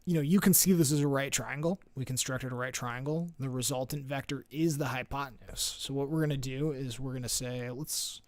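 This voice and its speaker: A man explains vector problems in a loose, conversational tutoring style. He thinks out loud, restarts phrases and leans on fillers. His voice sits at an ordinary mid pitch, and the recording is close and dry, with no room sound. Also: fairly even intonation, a little fast, hardly any pauses, dry recording. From United States. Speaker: math and physics tutor. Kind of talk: casual screen-share tutoring.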